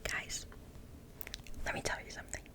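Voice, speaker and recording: French-accented woman, native French speaker, reading a book aloud in a steady, unvoiced ASMR whisper; trips over words and apologizes. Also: normal speed. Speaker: ASMR creator.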